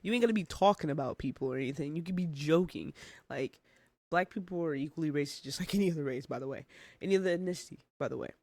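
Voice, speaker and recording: High-pitched man reacting, dry close sound. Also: fast, a little mellow, dry recording. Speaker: reaction video host. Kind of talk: casual reaction commentary.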